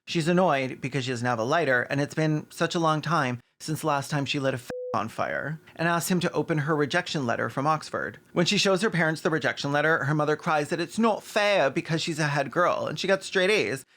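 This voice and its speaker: A man's voice: on the high side, a little fast, hardly any pauses, a bit bright. Snarky man doing sarcastic commentary. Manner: snarky, mocking, swears. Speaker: video essay host. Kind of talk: sarcastic scripted commentary with asides.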